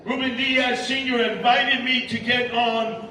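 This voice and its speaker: A man gives a rally speech in a very high, bright and forceful voice. He hammers his points with repetition and declamatory emphasis. The sound echoes heavily, picked up from far off. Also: flat delivery, measured pace, slight background noise. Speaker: rally speaker and radio host. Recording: echoey public address from far off.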